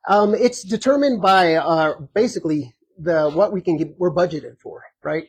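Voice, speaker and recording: Hoarse, gravelly man answering questions. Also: sits high, moderate pace, rough recording. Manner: earnest, conversational, many filler ums and restarts. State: hoarse, losing his voice. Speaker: sheriff candidate. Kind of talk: answering audience questions at a community meet and greet. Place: community meet and greet.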